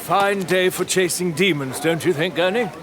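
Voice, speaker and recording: A man's voice: high voice, normal speed, a crowd in the background, close to an everyday voice. A man playing a haughty, defiant lord of the manor in a gothic audio drama, speaking in an imperious, sardonic, theatrical way. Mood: lightly mocking.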